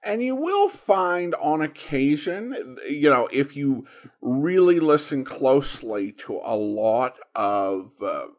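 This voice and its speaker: A man hosting a podcast, giving casual commentary on old radio shows in a halting, conversational way with emphatic swings. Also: on the high side, sing-song. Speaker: podcast host.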